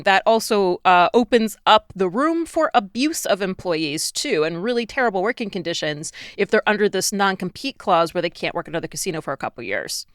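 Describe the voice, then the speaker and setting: A woman's voice: medium pitch, fairly bright tone, no echo, studio quality. A woman co-hosting a casual panel discussion, talking in a blunt, informal way. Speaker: podcast co-host.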